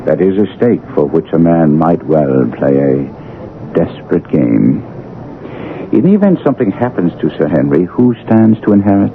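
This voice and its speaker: A man playing a shrewd, commanding gentleman detective in a radio drama, speaking precisely and formally. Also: low voice, sing-song, moderate pace. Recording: tinny old radio recording, faint noise.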